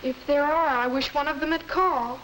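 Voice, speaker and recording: A woman's voice: high voice, fairly even intonation, fairly quick, fairly bright tone, low-quality recording. A young woman playing an earnest, plaintive teenage girl in a 1950s instructional film. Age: young adult. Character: teenage girl, earnest, fretting over her steady boyfriend. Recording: hissy 1950s educational film soundtrack.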